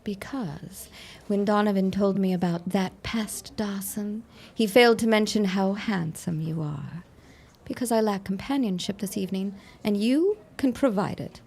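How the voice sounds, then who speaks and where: A woman's voice: mid-range voice, measured pace, creaky, darkish tone, slightly rough. A woman acting a brusque, flirtatious and world-weary secretary in a 1910s period audio drama, with curt, slangy, sardonic delivery. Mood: flirtatious, brisk.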